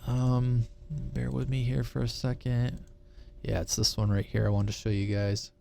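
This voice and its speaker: A man's voice: medium pitch, flat delivery, moderate pace, gravelly, warm tone, slight background noise. A man giving a casual, unscripted technical explanation in an informal, conversational way, often checking that listeners follow. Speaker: presenter of a CB radio explainer channel.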